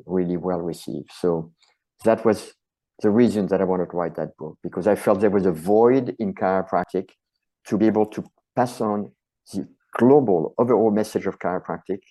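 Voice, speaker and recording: French-accented middle-aged guy, low mellow voice, talks with passion, piles up lists. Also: rough recording. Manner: passionate, repeats phrases in lists. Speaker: chiropractor and author, guest. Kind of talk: earnest interview answers.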